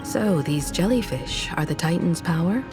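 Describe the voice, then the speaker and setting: A woman voicing a composed, gently teasing woman adventurer in a video game, with a poised, gentle manner. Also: fairly low pitch, animated, moderate pace, close to an everyday voice. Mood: warm, relaxed. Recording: loud music under the voice.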